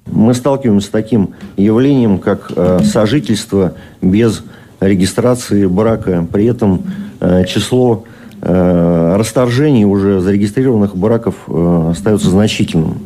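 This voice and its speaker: A man, a government official, delivering a formal bureaucratic address haltingly, hesitating between phrases. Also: fairly low pitch, warm tone. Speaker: government official giving a speech.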